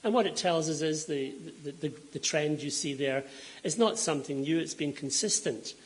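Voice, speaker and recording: A man with a Scottish accent that carries Donegal roots speaks in a slightly higher register as he lectures a hall full of people. He explains graphs in a measured way and pauses often on "um" and "uh" to correct himself. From Scotland. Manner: measured and explanatory, with frequent ums and self-corrections. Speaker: climate scientist giving a lecture. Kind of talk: public lecture with slides. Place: lecture hall before an audience.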